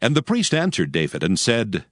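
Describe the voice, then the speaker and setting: A man's voice: fairly low pitch, huge pitch swings, normal speed, no room sound, studio quality. A man giving a solemn, scripted dramatic narration of scripture in a storytelling manner. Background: music.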